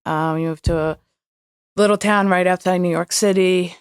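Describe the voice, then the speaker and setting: A woman's voice: fairly low pitch, fairly even intonation, normal speed, smooth, dry recording, clean studio sound. A young woman whose first language is Hebrew, a podcast guest talking candidly and reflectively about her past. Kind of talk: candid personal interview.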